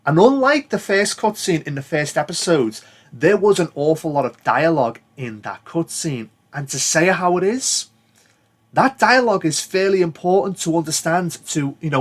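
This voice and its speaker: A British man with a voice a little higher than most talks through things as a gaming video host. His delivery is casual and explanatory, wandering as he goes, with blunt, sweary wording. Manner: rambling, plain-spoken, sweary.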